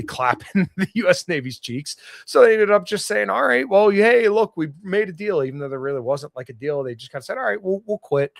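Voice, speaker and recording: A slightly hoarse man hosting a solo political podcast, reading news aloud and bluntly giving his own opinions. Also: a little high, no room sound, studio quality. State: slightly hoarse, getting over illness. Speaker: podcast host. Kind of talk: solo political commentary livestream.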